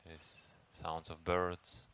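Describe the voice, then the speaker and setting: Very deep-voiced man guiding meditation slowly. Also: completely flat delivery. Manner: gentle, unhurried, with long pauses. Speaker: Buddhist meditation teacher. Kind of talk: guided meditation. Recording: noisy recording with ambient background sound.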